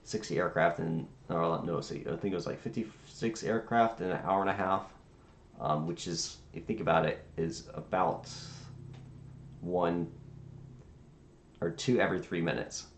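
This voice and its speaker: A man streaming as a virtual air traffic controller, chatting casually in a halting, rambling way as he thinks aloud. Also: low-pitched, steady tone, creaky. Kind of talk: casual livestream chat. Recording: roomy sound with faint background noise.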